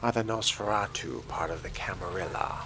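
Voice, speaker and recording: A man speaking in character as he plays a role-playing video game, with a deliberate, measured delivery. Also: low-pitched, vocal fry, over music. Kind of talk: in-character role-play dialogue.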